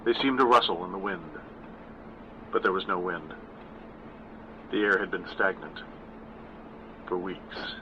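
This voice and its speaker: Low, bright-voiced older male narrator. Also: highs cut off, a little hiss or hum. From California. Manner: measured, self-deprecating. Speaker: author and narrator. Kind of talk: scripted horror narration and casual backstage talk.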